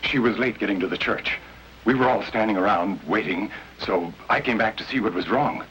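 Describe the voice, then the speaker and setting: Nervous, earnest male suspect, played by an actor, lower-pitched, defensive, vintage radio mystery with light hiss. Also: normal speed, a bit bright, natural voice, rough recording. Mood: anxious, explaining. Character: anxious, earnest bridegroom suspected of murder. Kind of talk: old-time radio drama acting. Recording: hissy old radio recording.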